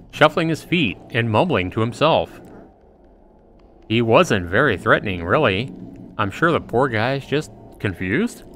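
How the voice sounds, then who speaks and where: A man's voice: mid-range voice, a bit bright, slight background noise. A man livestreaming, reading a visual novel aloud in narration mixed with casual asides. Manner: acts out dialogue between narration and reactions.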